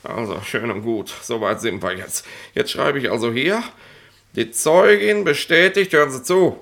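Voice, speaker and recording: Guy doing a pedantic flustered police inspector, old radio drama, gravelly but sharp voice. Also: mid-range voice, normal speed, natural voice. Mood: officious, fussy. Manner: blustering, fussy, stumbling officialese. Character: officious, easily flustered male police inspector, pedantic bureaucrat. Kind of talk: 1960s German radio play acting.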